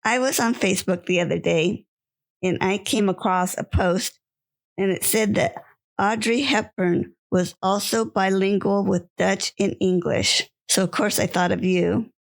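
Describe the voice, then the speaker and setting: Female podcast host, gentle and measured, with some vocal fry. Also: mid-range voice, steady tone. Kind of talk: podcast interview.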